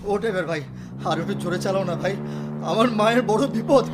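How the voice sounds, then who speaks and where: A man's voice: sits high, brisk, loud music behind the voice, natural voice. A man playing a devoted, distraught adult son in a radio drama, pleading and repeating himself urgently. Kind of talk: Bengali radio drama acting.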